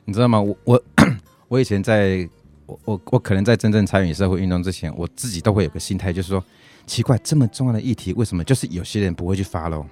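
A man's voice: low-pitched, fast talker, darkish tone. A man with a Taiwanese Mandarin accent in a casual interview as an activist guest, speaking earnestly and repeating himself for emphasis. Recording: music playing behind the voice.

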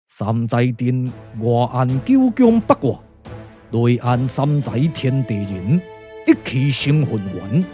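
An actor with a Taiwanese accent playing a solemn, weary strategist, speaking in measured, stately puppet radio drama style. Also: male voice, medium pitch, big pitch swings, dark tone, soft background music. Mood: grave.

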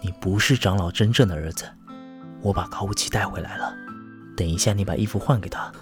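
Man acting a deep-voiced vengeful schemer over loud music. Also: fairly quick, close to an everyday voice. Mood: hushed, urgent persuasion. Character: vengeful man, calm schemer turned gleeful killer. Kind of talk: Mandarin audio drama acting.

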